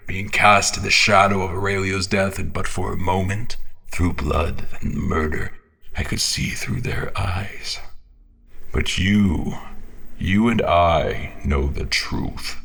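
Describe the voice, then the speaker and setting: A man playing a haunted, guilt-ridden fantasy general who narrates in a solemn, brooding, theatrical way, sometimes halting and slipping toward whispers. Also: deep voice, vocal fry, fairly bright tone, exaggerated voice. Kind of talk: dramatic scripted first-person fantasy narration. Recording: background music under the voice.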